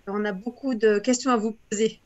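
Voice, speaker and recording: A woman's voice: fairly high pitch, fairly even intonation, brisk, a little mellow, lo-fi sound. A woman interviewer speaking conversationally, with an appreciative, welcoming manner. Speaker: interviewer, co-host.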